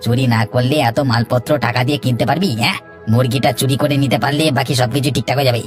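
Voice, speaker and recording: A man acts a scheming cartoon village rascal. He rattles along quickly and brashly, like a conspirator, with soft music underneath. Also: mid-range voice, close to an everyday voice. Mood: scheming, eager. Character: cocky scheming village lad, a petty thief. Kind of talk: cartoon dialogue acting. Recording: faint music behind.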